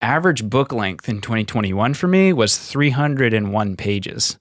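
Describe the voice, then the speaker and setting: A man's voice: mid-range voice, animated, moderate pace, no echo, studio quality. A young man co-hosting a casual podcast, talking in an easygoing, amused way. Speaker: podcast co-host.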